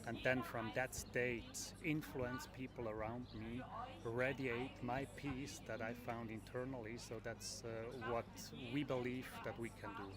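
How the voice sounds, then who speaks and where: Middle-aged Swiss man, festival interviewee, thoughtful and halting, outdoors with a loud crowd behind. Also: medium pitch, steady tone. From Switzerland. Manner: reflective, halting with many fillers. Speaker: festival participant. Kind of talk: street interview.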